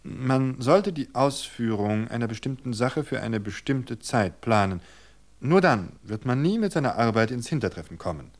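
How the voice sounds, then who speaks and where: A man's voice: medium pitch, lively intonation, moderate pace, close to an everyday voice. A man reading aloud and voicing a stubborn, orderly husband of forty who lectures playfully. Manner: playful yet pedantic.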